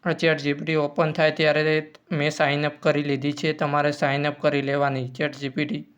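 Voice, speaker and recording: A man's voice: fairly high pitch, completely flat delivery, normal speed, fairly bright tone, clear, resonant tone, dull audio. A man hosting an informal step-by-step tutorial, using repetitive instructional phrasing. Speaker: tutorial host.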